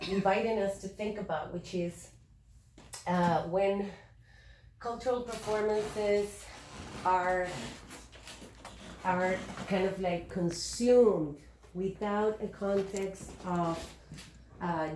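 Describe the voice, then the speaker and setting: A woman from Chile speaking at a panel discussion, thoughtful and halting with many fillers and restarts. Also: fairly low pitch, darkish tone, slight background noise. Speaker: panelist, activist and educator. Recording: echoey room.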